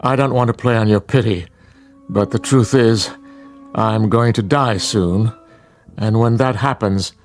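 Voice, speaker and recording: An actor playing a weary, dignified old schoolteacher and protective father in a radio drama, speaking politely and gravely in measured phrases. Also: on the low side, faint music behind, lightly acted. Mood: grave, pleading. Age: older.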